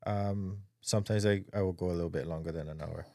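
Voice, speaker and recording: Man, deep low voice, halting casual speech, dry close mic. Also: steady tone, dry recording. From Barbados. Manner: soft-spoken, hesitant with repeated words and ums. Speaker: guest, para-bobsleigh athlete. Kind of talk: casual interview talk.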